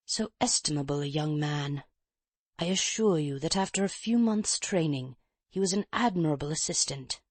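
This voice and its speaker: Audiobook casting: reader doing a frail, mincing, self-pitying old scholar, muted, low, dry and close. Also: animated, normal speed, no echo, close to an everyday voice. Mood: fretful. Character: frail old male professor, chain-smoking invalid scholar. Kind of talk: audiobook character reading.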